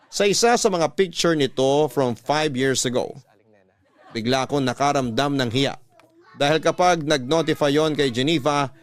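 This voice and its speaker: A man with a Filipino accent reading a first-person love story in a classic radio storytelling style. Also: mid-range voice. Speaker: narrator reading a love letter.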